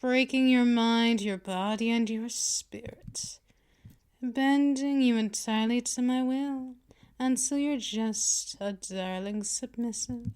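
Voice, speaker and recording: A woman's voice: on the high side, slow, a little mellow, clean tone. A woman playing a dominant, patient vampire mistress in a scripted ASMR roleplay, speaking in a condescending, teasing purr straight to the listener. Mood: domineering. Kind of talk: scripted ASMR roleplay, intimate second-person address.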